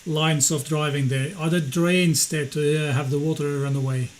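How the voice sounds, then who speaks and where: A man's voice: fairly high pitch, fairly even intonation, normal speed, a little hiss or hum. A man with a Norwegian accent, a native Norwegian speaker, arguing heatedly in an online debate. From Norway. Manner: argumentative, evasive.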